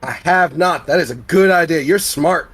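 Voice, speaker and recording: A man's voice: fairly high pitch, moderate pace, a bit bright, slightly rough. A man playing in a tabletop roleplaying game, chatting casually in character. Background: background music.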